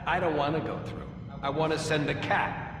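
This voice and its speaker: A man's voice: on the high side, fairly even intonation, normal speed, music in the background, close to an everyday voice. An actor playing an arrogant, sarcastic, impatient male scientist with a sneering, dismissive delivery in a video game audio log. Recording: echoey hall, distant, very noisy.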